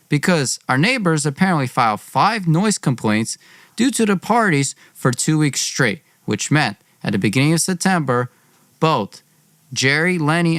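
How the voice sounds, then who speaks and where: A man's voice: mid-range voice, a bit bright, no echo. Young man telling a dry, close-miked story. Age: young adult. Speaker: podcast host and storyteller. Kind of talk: dramatized personal storytelling.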